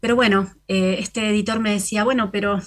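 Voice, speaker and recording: An Argentine woman poet and novelist, talking as a radio interview guest in a reflective, halting way, often restarting and laughing softly. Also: medium pitch, steady tone. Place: remote, from Italy.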